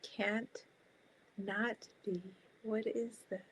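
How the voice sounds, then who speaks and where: A woman guiding a meditation in a calm, soft, spacious manner, leaving long pauses between short phrases. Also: medium pitch, a little hiss or hum, poor audio. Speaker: meditation guide.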